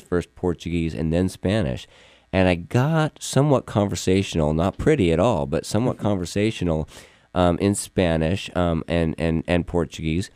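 A man's voice: deep voice, darkish tone, no echo. A man hosting an interview with his employee, rambling earnestly and repeating words as he talks. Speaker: host, firm owner interviewing an employee. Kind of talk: casual interview. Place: Yuma, Arizona.

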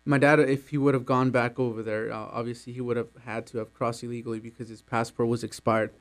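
A man's voice: mid-range voice, level tone, fast. Hesitant young man with faint hum. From Mexico. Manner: hesitant, many fillers, laughs often. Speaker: employee interviewed about his immigrant journey. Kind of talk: casual interview.

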